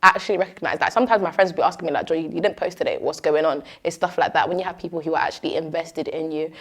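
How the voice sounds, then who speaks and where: A young woman with a British accent and a notably low voice. She talks in a casual, expressive way, addressing her listeners directly like a host opening up in an informal interview. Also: rapid-fire. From Nigerian-born, moved to Britain at three. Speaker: show host and content creator. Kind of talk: casual chat answering interview questions.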